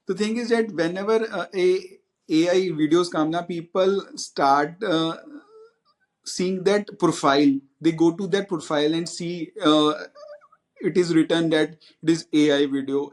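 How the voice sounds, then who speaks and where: A man's voice: a little high, measured pace. A man speaking hesitantly as a panel guest, with many fillers. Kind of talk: panel discussion.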